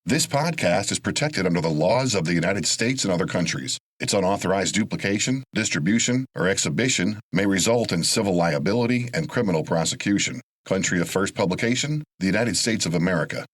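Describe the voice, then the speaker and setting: A man's voice: deep voice, animated, brisk, hardly any pauses. A man reading a formal scripted legal disclaimer as an announcer.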